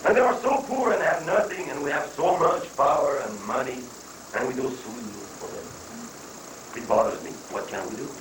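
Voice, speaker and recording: A man's voice: mid-range voice, normal speed, natural voice. A man playing an earnest, fretful follower of a guru, troubled by his conscience, in a dramatic reading of a satirical play. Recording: echoey room, far from mic, noisy.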